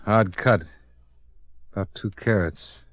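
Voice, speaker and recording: A man's voice: on the low side, slow, dry recording, muffled recording. An American man playing a tough, blunt insurance investigator in a Golden Age radio drama, with clipped, commanding, hard-boiled delivery. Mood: brisk, businesslike. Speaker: radio drama lead and narrator. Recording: old radio drama recording, dry studio.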